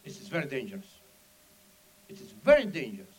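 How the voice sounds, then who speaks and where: A man from the Soviet Union with a Russian accent gives a public speech in broken, non-native English, telling his story in an animated, dramatic way with emphatic asides. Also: mid-range voice, a little fast, slightly rough, low-quality recording. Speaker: speaker at an event.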